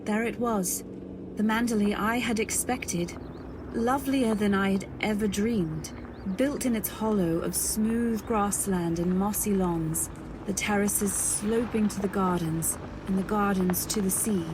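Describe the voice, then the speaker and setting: A woman playing a dreamy, naive young newlywed, narrating in measured phrases in an old-time radio drama style. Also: mid-range voice, background noise, close to an everyday voice. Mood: wistful, dreamy. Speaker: narrator and lead. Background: faint music.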